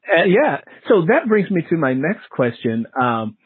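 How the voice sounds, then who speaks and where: A man's voice: a little high, sing-song, a little slow, breathy edge, no echo. A man hosting an interview in a conversational style, often repeating and restating his phrases. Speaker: interview host. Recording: lo-fi phone-like radio sound, muffled.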